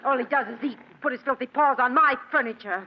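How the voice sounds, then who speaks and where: A bitter, complaining, sharp-tongued wife. Her high voice rattles along fast in a scolding whine. It is a muffled 1940s radio recording. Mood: angry, commanding. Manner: shrill, whining, scolding. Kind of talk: 1940s radio drama acting. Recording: muffled old radio recording with faint noise.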